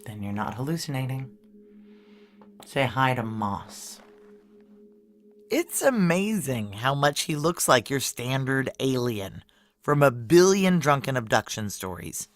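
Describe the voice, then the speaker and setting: An actress playing a tough, wary female security chief in a noir science fiction audio drama, speaking in a clipped, deadpan, commanding way. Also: a bass voice, measured pace, exaggerated voice. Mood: dry, guarded.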